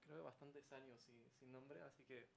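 A man's voice: medium pitch, steady tone, normal speed, creaky, a little hiss or hum. A man from Argentina, a band musician, telling a story casually in a radio interview. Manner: storytelling with laughing asides. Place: radio studio.

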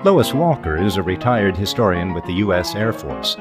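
A man's voice: sits low, sing-song, moderate pace. An older man narrating a scripted documentary podcast as its host. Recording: music behind the voice. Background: music.

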